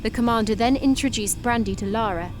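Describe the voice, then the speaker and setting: A woman giving even, measured scripted narration for an animated sci-fi story. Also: on the high side, noisy background.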